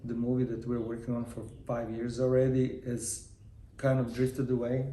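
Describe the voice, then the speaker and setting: A man's voice: mid-range voice, completely flat delivery, normal speed, dark tone. A man, a guest chatting casually and rambling a little as he answers. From Croatia. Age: 50s. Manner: easygoing, rambling with restarts. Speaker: guest, film producer and actor. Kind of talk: casual interview talk. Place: room in Los Angeles. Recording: echoey room, faint noise.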